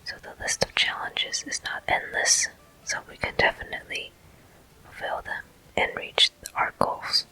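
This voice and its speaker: A person whispering ASMR commentary over a video game walkthrough in a hushed, unhurried, explanatory way, pausing between short phrases. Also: rough recording. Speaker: gameplay host.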